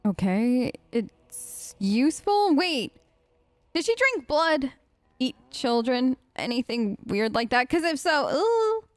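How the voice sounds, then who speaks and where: A woman's voice: high voice, big pitch swings, takes it slowly, dry recording, faint music behind. A chatty woman doing role-play let's play commentary, answering game characters as if she were one of them. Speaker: gaming host, player.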